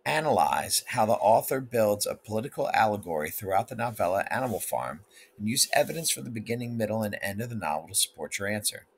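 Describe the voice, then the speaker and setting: A man's voice: fairly low pitch, lively intonation, quick pace, vocal fry, slightly rough. A male teacher, guiding students through an instructional read-aloud lesson.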